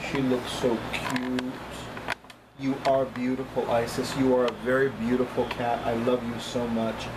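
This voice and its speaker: A middle-aged man talking casually to camera as a vlogger, with deliberate, emphatic phrasing and frequent repetition. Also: medium pitch, completely flat delivery, background noise. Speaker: vlogger, psychic reader. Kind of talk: casual chatty monologue to camera. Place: small apartment room by a kitchen, indoors.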